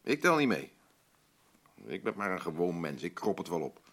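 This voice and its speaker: An actor playing a blunt, rambling working-class husband in a radio drama, boastful, self-pitying and defensive. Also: male voice, low voice, big pitch swings, moderate pace, a bit bright, heavily acted. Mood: hurt, indignant. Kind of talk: naturalistic radio drama dialogue.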